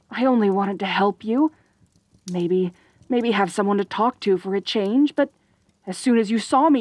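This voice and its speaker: A woman performs a centaur girl for an ASMR roleplay drama, lonely and possessive but tender underneath. Her delivery is unhurried, hesitant and earnest, with stammered, pleading phrases. The sound is dry and intimate, with no room around the voice. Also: fairly high pitch, no room sound. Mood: defensive, pleading. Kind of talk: roleplay ASMR audio drama, intimate one-sided dialogue.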